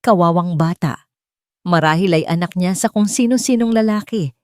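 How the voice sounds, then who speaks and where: A woman's voice: fairly low pitch, normal speed, darkish tone, dry recording, clean studio sound, natural voice. A Filipino-accented woman voicing a haughty, cold, rich older mother in an audio drama, melodramatic and condescending. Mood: bitter disdain.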